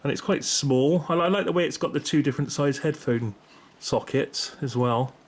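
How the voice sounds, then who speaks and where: A man's voice: on the high side, normal speed. A middle-aged British man giving a casual, unscripted gear review in a rambling, tentative way, often correcting himself. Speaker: video host reviewing gear. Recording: clear background noise, room ambience.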